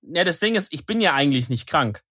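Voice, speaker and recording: A man's voice: fairly high pitch, very animated, a little fast, pushed and bright, husky, dry recording, clean studio sound. A young man co-hosting a podcast, slightly nasal from a cold, telling rambling stories full of slang and fillers. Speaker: podcast co-host. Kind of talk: casual chat.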